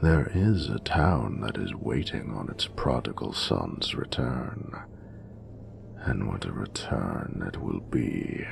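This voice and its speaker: A man voicing an ancient, regal dragon in a romantic audio roleplay, doting and flirtatious, with gravelly, breathy, often near-whispered, grandiose and formal speech. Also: rumbling low, expressive, background noise, exaggerated voice. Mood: fond, playful. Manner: grandiose, formal, measured phrasing. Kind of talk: romantic audio roleplay, slow intimate monologue.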